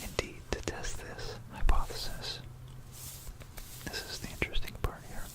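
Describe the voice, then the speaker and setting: An ASMR presenter narrating history in a hushed, unhurried whisper, repeating words and pausing mid-phrase, close to a stereo microphone. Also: poor audio. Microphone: close stereo microphone for binaural ASMR.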